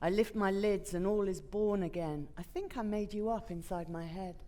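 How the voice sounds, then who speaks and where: A woman giving a deliberate, dramatic reading of a poem on a theatre stage. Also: mid-range voice, warm tone. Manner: deliberate, measured. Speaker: actor reading poetry.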